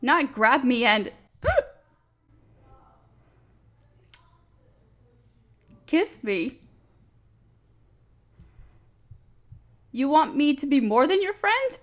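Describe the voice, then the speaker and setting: A fairly high-pitched young woman, played by a female voice actor speaks close and intimately, as in a romantic audio drama. She is nerdy and smitten with her friend. Her delivery is nervous and hesitant, with stammers and sighs. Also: very animated, a little slow. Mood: flustered, shaken. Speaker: audio roleplay performer. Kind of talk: intimate romantic roleplay acting.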